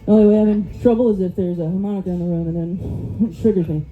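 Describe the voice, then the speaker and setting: A woman with an American accent doing a stand-up set of quick one-liners on a club stage, with a rambling, self-mocking delivery into a handheld mic. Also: on the low side, steady tone, phone-quality sound. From United States. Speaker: stand-up comic.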